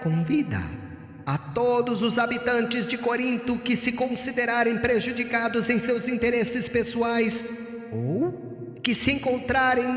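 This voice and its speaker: A man's voice: very high-pitched, fairly bright tone, pitched above the performer's own voice. A man voicing a Roman imperial herald in an audiobook, proclaiming an edict in a formal, declamatory manner. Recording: echoing hall reverb with music.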